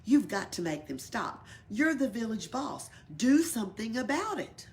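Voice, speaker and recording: Storybook gecko, played by a woman: male, grumpy, whiny, demanding, raised pitch, crackly creak, some noise behind. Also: sing-song, measured pace, a little mellow. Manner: whiny, insistent complaining.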